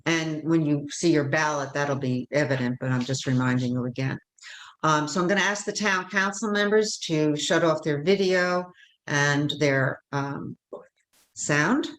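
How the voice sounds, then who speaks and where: A woman's voice: sits low, unhurried, fairly bright tone, low-quality recording. A woman moderating a candidate forum over a video call, chatty and loose, with many fillers and self-corrections.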